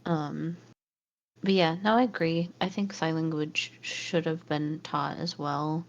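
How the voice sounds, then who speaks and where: Woman from california, lowish voice, lo-fi muffled mic, chatty guest, laughs a lot. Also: slow. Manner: laughs often, lively. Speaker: podcast guest. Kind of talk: casual chat.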